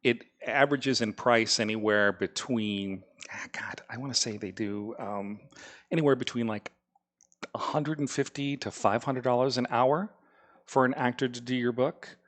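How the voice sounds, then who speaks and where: A man's voice: medium pitch, normal speed, a bit bright. A man, an author guest on a podcast, explaining audiobook production in a loose, chatty way. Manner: explanatory, many "you know" fillers. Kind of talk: casual podcast chat.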